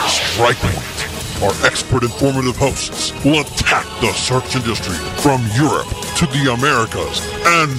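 A man reads a radio show intro in a dramatic promo voice, unhurried and deliberate. Loud music runs beneath him. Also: mid-range voice.

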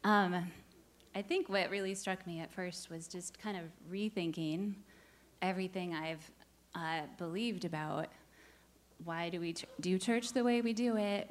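A woman's voice: lowish voice, steady tone, normal speed. A woman in her forties from the United States answers questions in a church service, candid and a little nervous, working from notes. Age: 40s. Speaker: church search team member.

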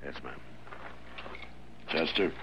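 A man acting a firm, authoritative American marshal in a 1950s radio western, speaking in a measured way. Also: pushed and bright. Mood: gentle, grave. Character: stern, commanding frontier US marshal. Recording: noisy old radio drama recording.

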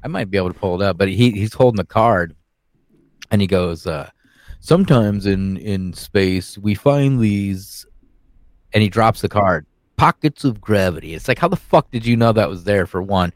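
A man's voice: fairly low pitch, expressive, normal speed, dry recording, clean studio sound. A man co-hosting a podcast, talking casually and rambling with frequent restarts. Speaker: podcast host. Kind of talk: casual conspiracy talk.

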